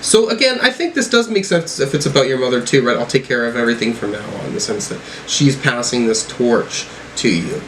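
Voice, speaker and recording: A man's voice: mid-range voice, lively intonation, brisk, few pauses, fairly bright tone. Man musing over music, noisy recording. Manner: musing, thinking aloud while reading out lyrics. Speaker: host of music reaction videos. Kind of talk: casual music reaction and commentary.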